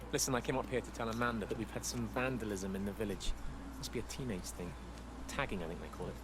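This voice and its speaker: Casting: actor as English country priest, brisk pace, understated and reproachful, very noisy outdoor scene. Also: male voice, on the low side, steady tone, close to an everyday voice. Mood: dry, subdued. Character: dry, quietly reproachful male village priest. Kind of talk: naturalistic video game voice acting.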